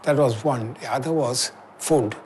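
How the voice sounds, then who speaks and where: A man's voice: mid-range voice, measured pace, darkish tone, a little hiss or hum. A man in his eighties recalling wartime hardship in a matter-of-fact documentary interview. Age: 80s.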